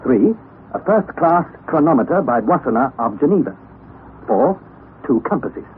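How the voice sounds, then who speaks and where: A man's voice: a little high, sing-song. Young man narrating an old radio drama. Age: young adult. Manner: measured, listing carefully. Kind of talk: first-person dramatic narration. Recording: tinny old radio broadcast with hiss.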